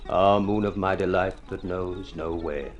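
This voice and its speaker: A man's voice: deep voice, steady tone, unhurried, highs cut off. An actor playing an eloquent, sardonic man who woos in grandiloquent, mock-poetic speech in a vintage radio drama. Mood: florid, ardent. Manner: florid, grandiloquent, theatrical. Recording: old radio drama recording with music.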